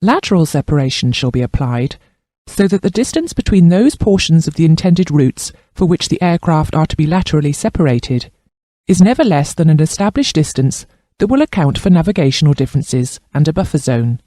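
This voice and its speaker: Male instructional narrator, scripted, formal, measured, higher-pitched, very dry close sound. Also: lively intonation, mellow, no echo. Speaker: training narrator.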